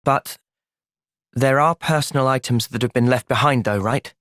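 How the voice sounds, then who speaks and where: An earnest young British soldier from a video game cutscene, played by a male actor. He speaks at a middle pitch in a sincere, measured way, gentle yet resolute, and is recorded very dry and close. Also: no room sound, close to an everyday voice. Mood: calm, considering.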